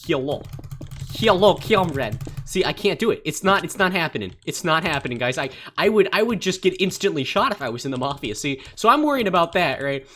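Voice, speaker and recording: A man's voice: fairly high pitch, big pitch swings, brisk, a bit bright. A man telling funny stories while he plays a game. He rambles, stumbles over his words and laughs easily. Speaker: gaming channel creator. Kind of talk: casual storytelling over gameplay. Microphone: desktop mic.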